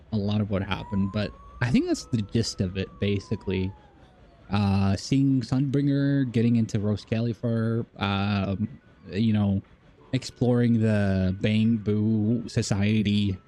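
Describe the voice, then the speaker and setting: A man's voice: medium pitch, mellow. A man live streaming a video game, chatting casually with viewers and thinking aloud in a rambling, repetitive way.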